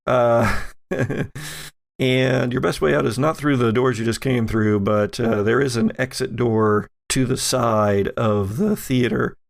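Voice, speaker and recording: Guy game mastering a tabletop session, croaky voice, kinda halting and measured. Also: medium pitch, steady tone. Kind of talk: improvised tabletop game narration and rules talk.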